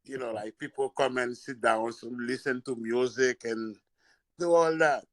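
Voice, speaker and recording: A man's voice: mid-range voice, steady tone, unhurried, fairly bright tone, dry recording. A man from Haiti, a restaurant and bar owner speaking at a public meeting on a call, hesitant and searching for words. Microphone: phone or video call.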